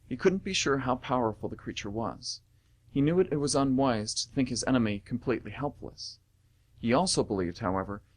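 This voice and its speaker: Animated man hosting radio theatre. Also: medium pitch. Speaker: radio theatre host and narrator. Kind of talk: announcing and scripted story narration.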